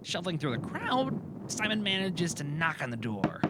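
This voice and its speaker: Casting: male storyteller for scripted fantasy narration, slightly high pitch, lively swings in intonation. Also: normal speed. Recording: clearly audible background noise.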